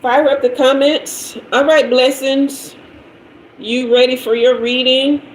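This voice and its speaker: A woman's voice: high voice, level tone, takes it slowly. Chatty woman in her fifties, roomy echo. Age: 50s. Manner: loose, chatty, slangy and conversational. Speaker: tarot reader hosting a livestream. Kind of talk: casual livestream chat reading comments. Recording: roomy echo with faint noise.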